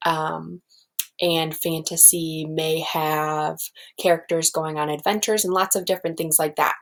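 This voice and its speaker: A woman's voice: deep voice, fairly bright tone, poor audio. A woman teacher explaining things simply to young children and reading a storybook aloud.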